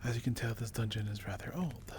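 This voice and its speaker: A man playing a seasoned, wry dungeon explorer in a dark fantasy ASMR roleplay, drawling theatrically and insinuatingly. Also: medium pitch, fairly even intonation, fast, background noise, natural voice. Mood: wry, sly. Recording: roomy echo.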